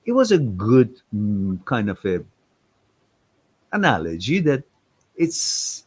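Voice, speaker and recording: A man's voice: medium pitch, husky. A man whose first language is Farsi, hosting a livestream question-and-answer session and giving blunt, emphatic advice full of rhetorical questions. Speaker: livestream host.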